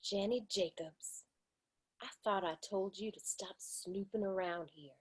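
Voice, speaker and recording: A woman's voice: mid-range voice, measured pace, breathy edge. A woman reading a stern, suspicious middle-aged saloon co-owner who scolds and orders people off her property. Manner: scolding, commanding. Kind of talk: screenplay table read.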